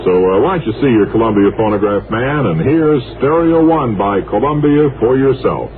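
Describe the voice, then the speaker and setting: An actor playing a boastful phonograph salesman in a mock radio ad, with brisk sales patter. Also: mid-range voice, animated, lightly acted. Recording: tinny, hissy 1950s radio broadcast.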